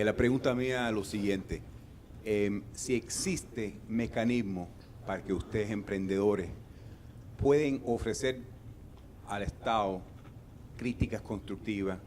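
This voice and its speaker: A man's voice: medium pitch, a little mellow, slight background noise. A man from Canada, putting an unscripted, formal question to a panel from the audience.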